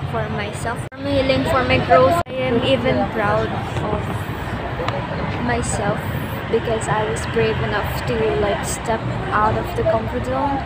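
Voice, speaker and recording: A woman's voice: on the high side, steady tone, measured pace, heavy background noise. A woman in her twenties with a Filipino accent, chatting casually for a vlog and mixing Tagalog with English. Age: 20s. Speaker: vlogger.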